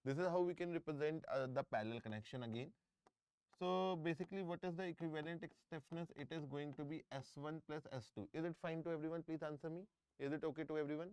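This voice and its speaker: A man's voice: on the high side, quick pace, darkish tone, smooth, dry recording, rough recording. A middle-aged man with an Indian English accent, teaching a live online engineering class, often repeating himself and checking in with students. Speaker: engineering exam tutor. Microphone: close microphone.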